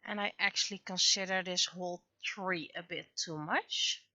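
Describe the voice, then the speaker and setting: A woman's voice: on the low side, fairly even intonation, moderate pace, bright, forceful tone, muffled recording. A woman livestreamer chatting casually while she draws, often muttering to herself as she works. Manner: mutters to self while working. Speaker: livestreamer and webcomic artist.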